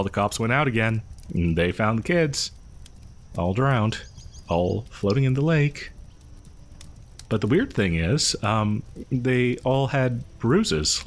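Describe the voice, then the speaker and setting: A man playing a friendly, awkward young local who shares grim rumors in a hesitant, rambling, conversational way for an audio horror roleplay. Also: on the low side. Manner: hesitant, rambling, nervous chuckles.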